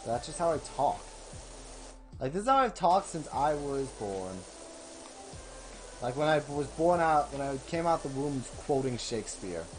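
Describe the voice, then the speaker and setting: Young man, streamer, casual game commentary, rambling, swears, pitch jumps around a lot, game music underneath. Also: very animated, measured pace, pauses often, low-quality recording. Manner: rambling, swearing, thinks out loud. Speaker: streamer playing a video game.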